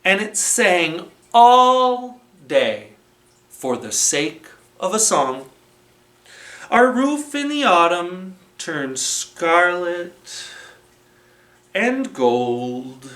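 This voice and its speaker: Very slow, animated storyteller in an echoey room. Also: medium pitch. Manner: slow, deliberate, expressive read-aloud delivery. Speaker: children's library storytime presenter. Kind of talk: animated storytelling with felt board. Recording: roomy, echoing, distant microphone.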